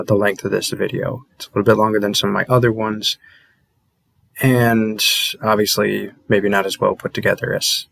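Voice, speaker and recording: A man who runs a channel, chatting informally and a little haltingly to his viewers in a casual outro. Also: on the low side, gravelly, fairly bright tone. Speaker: channel creator thanking viewers.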